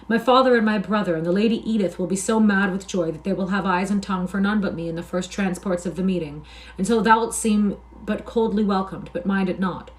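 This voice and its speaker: A woman's voice: mid-range voice, a little fast, close to an everyday voice, low-quality recording. Woman reading as a jubilant young soldier. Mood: joyful. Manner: impassioned, archaic speech. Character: eager young male soldier returning home.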